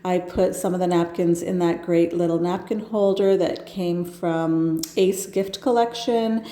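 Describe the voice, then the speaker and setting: A woman's voice: on the low side, steady tone, a little slow, mellow, roomy sound. A woman with a stuffed-up nose from a cold, chatting enthusiastically as she narrates her home decorating. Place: home kitchen.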